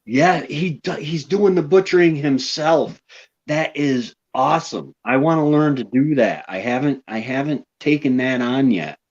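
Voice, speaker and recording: A man's voice: mid-range voice, measured pace. Easygoing man, muffled phone audio. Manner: easygoing, repeats words as he thinks. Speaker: homestead live-stream host. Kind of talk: casual live-stream chat.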